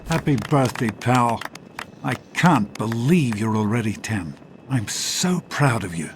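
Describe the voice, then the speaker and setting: A man playing a proud, gentle middle-aged father in a video game, with an affectionate manner. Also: mid-range voice, expressive, a little slow, slight background noise, natural voice. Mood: proud, tender. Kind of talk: video game character acting.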